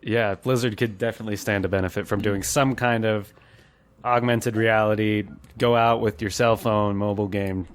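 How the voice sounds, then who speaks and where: A man's voice: lowish voice, fairly even intonation, normal speed, clean tone. Man co-hosting a casual podcast. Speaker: podcast co-host. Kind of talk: casual chat.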